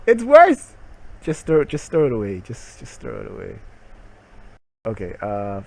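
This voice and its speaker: A man's voice: mid-range voice, huge pitch swings, a little slow, creaky, a little hiss or hum. A man doing a mocking dramatic reading with asides, sarcastic, profane and exclamatory. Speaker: streamer reading aloud.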